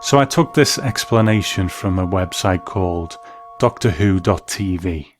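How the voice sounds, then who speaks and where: Deep-voiced man narrating over music. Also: animated, measured pace. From Britain. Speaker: podcast host. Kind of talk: scripted documentary narration.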